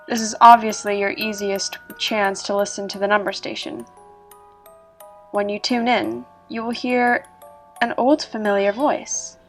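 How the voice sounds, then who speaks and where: A woman reading scripted narration as a storyteller, in a measured, explanatory manner. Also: medium pitch, flat delivery. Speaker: narrator reading an online horror story about a video game. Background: music.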